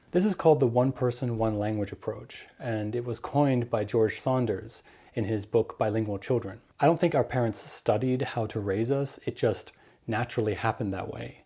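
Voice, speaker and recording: A man's voice: mid-range voice, warm tone. A young man in his twenties who grew up in Germany, talking earnestly in a vlog and explaining and giving direct advice. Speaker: vlogger.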